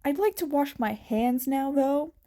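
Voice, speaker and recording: An easygoing young man who speaks offhandedly and unbothered, as a woman reads him. The voice stays soft, warm and a little high. It belongs to a homemade audio story. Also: a little slow. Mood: offhand. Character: casual, laid-back young male stranger.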